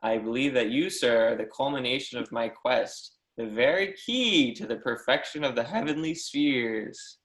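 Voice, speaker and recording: A man's voice: medium pitch, animated, moderate pace, few pauses, a bit bright, natural voice. Man playing an eager young scholar, echoey room. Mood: reverent, insistent. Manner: earnest, effusive, apologetic, formally polite. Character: eager, deferential young German mathematics professor, flustered admirer. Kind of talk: readers theatre performance of a history play.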